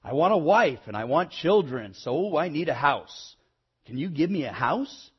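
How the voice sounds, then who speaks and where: A man's voice: a little high, very animated, moderate pace, husky, dull audio, full character voice. A man voicing a restless, wanting boy who is growing up, in a children's storybook. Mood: busy, insistent. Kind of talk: read-aloud children's storybook.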